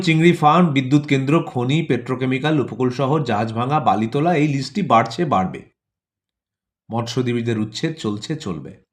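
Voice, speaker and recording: A male storyteller with a mid-pitched voice delivers satire for an audio play. His phrasing is theatrical and rhythmic, built on repetition and coloured with mock wonder. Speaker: narrator.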